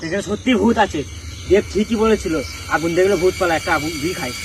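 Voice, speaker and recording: Man playing an uneasy, fast, high-voiced guy. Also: background noise, lightly acted. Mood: uneasy, warning. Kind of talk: amateur horror-comedy skit dialogue.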